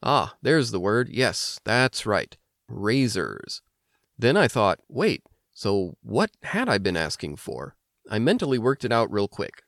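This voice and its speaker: An American man hosting an instructional language podcast, explaining things clearly like a teacher. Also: fairly low pitch, fairly bright tone, dry recording, clean studio sound. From United States. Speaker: language lesson host and teacher. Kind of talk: instructional podcast narration with personal anecdotes.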